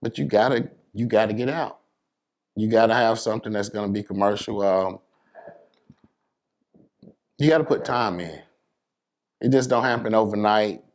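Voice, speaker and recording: A man from New York City with a fairly low voice, talking as a DJ being interviewed. His speech is casual and slangy, and he lays out advice with emphasis. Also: moderate pace, pauses often. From New York City, United States.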